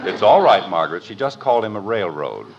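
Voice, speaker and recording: A man's voice: fairly low pitch, sing-song, fairly quick. An actor playing a middle-aged American father, dry, sarcastic and exasperated, in a 1950s radio sitcom. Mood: dry, probing. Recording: muffled, noisy old radio recording.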